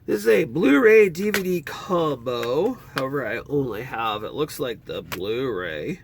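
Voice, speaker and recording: A man's voice: a little slow, creaky, slight background noise. Congested man rambling about his movies. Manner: chatty, rambling, lively swings in pitch. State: congested nose. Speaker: movie collection vlogger. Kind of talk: casual collection walkthrough.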